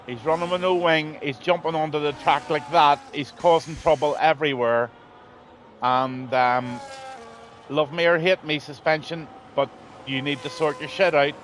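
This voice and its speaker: A man's voice: a little high, steady tone, normal speed, fairly bright tone, slight background noise. A man, losing his voice, commentating a live online race in a rambling, blunt way with lots of ums. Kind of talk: live sim racing commentary.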